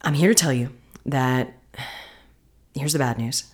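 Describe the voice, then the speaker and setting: A woman hosting her podcast, talking casually and encouragingly with a husky voice gone hoarse from singing. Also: measured pace. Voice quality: husky, deeper than usual. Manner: chatty, encouraging. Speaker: podcast host. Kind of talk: casual solo podcast talk.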